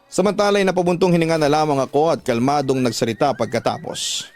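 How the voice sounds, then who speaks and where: A man's voice: a little high, quick pace, a little mellow. A man narrating a scripted first-person story as a radio drama narrator. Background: music.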